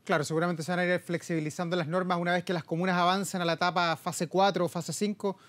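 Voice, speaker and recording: Fast, high-pitched Chilean male news anchor. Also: flat delivery, fairly bright tone. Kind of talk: broadcast news interview. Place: television news studio.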